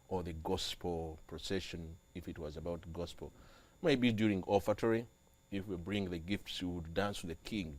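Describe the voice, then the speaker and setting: A young man from Uganda, a church music director, speaking as an interview guest with halting, repeated phrases. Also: sits low. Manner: halting, repeats and restarts phrases, grows animated on liturgy. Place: TV studio.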